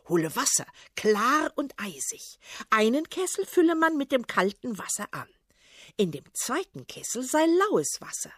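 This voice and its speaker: A woman's voice: on the high side, breathy edge, no echo, clean studio sound, heavily acted. A woman voicing a young, haughty sea princess with a mocking, commanding manner.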